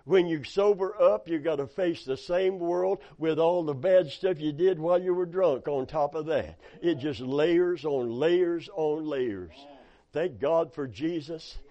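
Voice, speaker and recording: A hoarse preacher, his voice pitched a little high for a man. He tells folksy stories from the pulpit and breaks in with amen and hallelujah. The recording sounds dull and lo-fi. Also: a little slow, dark tone. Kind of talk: sermon.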